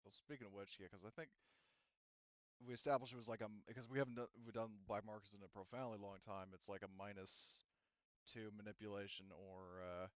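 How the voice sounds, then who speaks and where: A man's voice: lowish voice, fairly even intonation, fairly quick, no room sound, muffled recording. A man acting as game master, narrating a tabletop game in a casual, sweary, rambling and jokey way. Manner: rambling, jokey, acts out characters in quotes.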